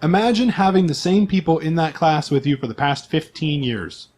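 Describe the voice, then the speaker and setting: A man's voice: on the high side, animated, normal speed, darkish tone. A man acting as game master, explaining the game in a casual, joking, conversational way. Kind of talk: casual tabletop role-playing narration and explanation.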